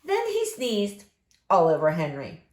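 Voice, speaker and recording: Expressive woman reading slowly to children, echoey. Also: fairly low pitch. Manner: expressive, addressing young listeners. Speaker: story reader for children. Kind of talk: picture book read-aloud. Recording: echoey room.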